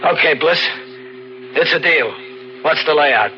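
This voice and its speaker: An American actor playing a cornered, wary fugitive in radio noir, talking and narrating in a terse, sardonic, hard-boiled way. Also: male voice, on the high side, unhurried, close to an everyday voice. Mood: uneasy. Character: cornered, wary fugitive man, cynical and reluctant. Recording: dull old radio recording, music behind.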